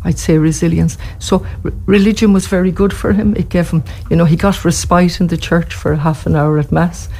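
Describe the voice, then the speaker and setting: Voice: medium pitch, steady tone, fairly quick, hardly any pauses, a little mellow, breathy edge. An interview guest from Ireland with an Irish accent, speaking passionately and thoughtfully, often restarting phrases. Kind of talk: earnest conversational interview answers.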